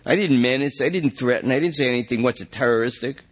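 A man's voice: mid-range voice, fast, pitched above the performer's own voice, close to an everyday voice. A man voicing a jittery, rambling male junkie under arrest, whiny and pleading.

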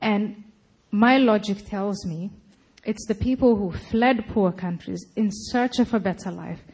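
A woman giving a public talk, arguing her case forcefully. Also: mid-range voice, measured pace, breathy edge, low-quality recording. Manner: forceful, argumentative. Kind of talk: lecture and debate answering.

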